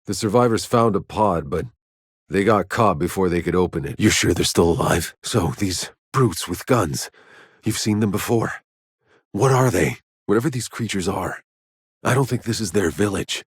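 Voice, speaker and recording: A man's voice: deep voice, normal speed, slightly rough, dry recording, clean studio sound. A man playing a sardonic, battle-weary sci-fi soldier hero in a video game, with terse delivery. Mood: wary, serious. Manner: sardonic, terse, swears freely. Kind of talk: video game character voice lines.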